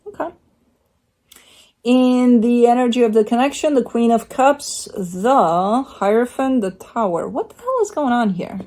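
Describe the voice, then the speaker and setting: A woman's voice: fairly high pitch, animated, a little slow, mellow. A woman giving a casual tarot reading at home, talking in a chatty, rambling way with blunt asides. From Romania. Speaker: tarot reader. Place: home by a window with a bird feeder.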